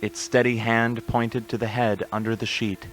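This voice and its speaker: A man's voice: medium pitch, level tone, normal speed, fairly bright tone, rough recording. A man narrating a scripted radio play in a solemn, literary storytelling manner. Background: music.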